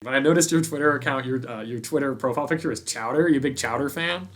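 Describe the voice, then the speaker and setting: A man's voice: mid-range voice, quick pace, a bit bright. Casual man chatting on a podcast. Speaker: podcast host. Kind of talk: casual gaming podcast chat.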